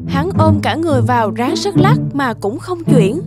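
A woman narrating an animated folk tale in a scripted storybook style. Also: high-pitched, normal speed. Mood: storytelling. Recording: background music under the voice.

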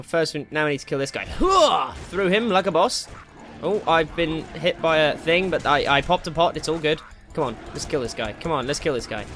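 A man giving casual, excitable commentary while playing a video game, jokey and slangy, with exclamations and swearing. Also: a little high. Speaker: gaming video host. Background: game music.

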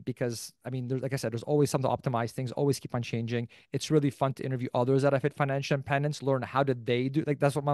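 A man's voice: mid-range voice, a little mellow, no echo. A middle-aged Canadian man talking casually as an interview guest in a rambling way, into a close desk microphone in a small room. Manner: rambling, peppered with "like" and "you know". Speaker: podcast host as interview guest. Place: small indoor room, video call. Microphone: desk microphone close in front.

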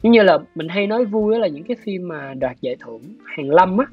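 A man in his thirties with a southern Vietnamese accent explains his views in a measured, hesitant interview style. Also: high-pitched, animated, husky. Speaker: guest, animation director. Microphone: video call. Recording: dull video-call audio, music behind. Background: soft plucked guitar music.

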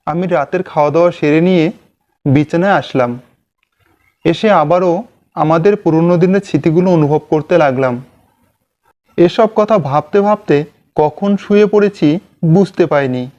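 A man's voice: on the high side, moderate pace, long pauses, a little mellow, highs cut off. A man narrating a scripted ghost story in the first person. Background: background music.

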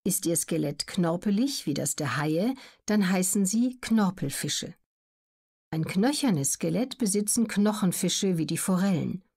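A woman reading scripted educational narration as an audiobook narrator, in a measured, clearly articulated way. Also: on the low side, lively intonation, darkish tone.

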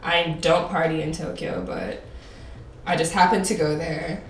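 A woman's voice: low voice, steady tone, a little slow, distant mic. A woman in her twenties from Japan, a native Japanese speaker, chatting casually as a guest. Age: 20s. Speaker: podcast guest, performer. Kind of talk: casual chat. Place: indoor bar with brick walls. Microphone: condenser mic on table, a little distant. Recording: slightly noisy, echoey room, off mic.

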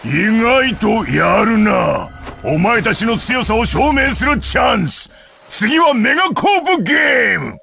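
Game role: manic belligerent male challenger, bombastic, gravelly, swooping delivery. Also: very high-pitched, big pitch swings, measured pace, slight background noise, full character voice. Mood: challenging. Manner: bombastic shouting, theatrical. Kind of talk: video game cutscene acting.

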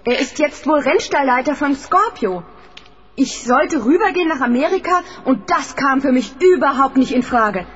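Spirited, high-voiced woman, very animated. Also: moderate pace. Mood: matter-of-fact, pointed. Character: spirited, outspoken female racing driver companion. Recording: dull old cassette, noisy background.

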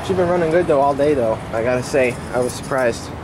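A man's voice: medium pitch, quick pace. A young American man chatting casually to the camera as a vlogger, recorded on a handheld camera. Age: young adult. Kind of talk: casual vlog chat. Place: old pickup truck cab and roadside outdoors. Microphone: handheld camera at arm's length. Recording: loud road, engine and outdoor noise.

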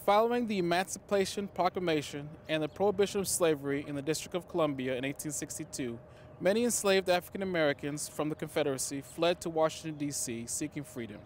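A man in his thirties gives a scripted history talk outdoors, speaking into a lapel mic in a measured, formal way. His voice sits a little high, and steady traffic hum is clearly heard behind him. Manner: measured, formal delivery to camera. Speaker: cemetery staff presenter. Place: outdoors in a cemetery.

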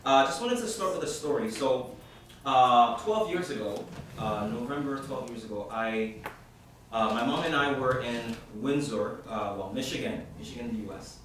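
A man from Haiti, a youth minister, praying and then telling a story to a group in a halting, informal way with many fillers. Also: mid-range voice, a bit bright. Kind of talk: opening prayer and talk to a group. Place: hall at a university centre. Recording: echoey room from far off, background noise.

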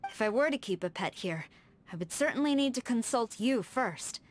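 A woman's voice: on the high side, animated, slight background noise, close to an everyday voice. A woman voicing a stern, formal tengu warrior. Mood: composed, earnest. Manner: formal, measured, precise diction. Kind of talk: scripted video game character dialogue. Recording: studio voice over background music.

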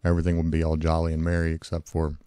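A hoarse man with a cold, casually walking through a software tutorial with lots of fillers and self-corrections. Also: a bass voice, monotone, very fast, no room sound, studio quality. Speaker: tutorial host. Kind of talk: screen-recorded software tutorial.

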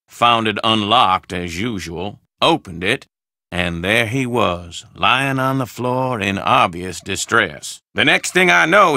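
An actor playing a pompous older doctor in a video game, speaking in a formal, deliberate, theatrical way. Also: male voice, medium pitch, vocal fry, sharp tone, dry recording, clean studio sound, heavily acted. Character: pompous, gloomy old Southern doctor, the dead man's friend.

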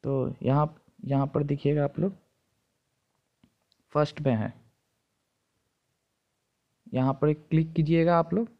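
Higher-voiced man, muffled recording. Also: steady tone, slow, pauses often. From India. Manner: instructional, repeats steps. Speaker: tutorial presenter. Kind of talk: informal screen-recording tutorial narration. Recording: dull, lo-fi phone recording.

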